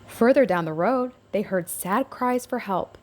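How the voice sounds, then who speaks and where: A woman's voice: medium pitch, unhurried. A woman narrating a scripted picture book aloud for young children, as a storybook reader.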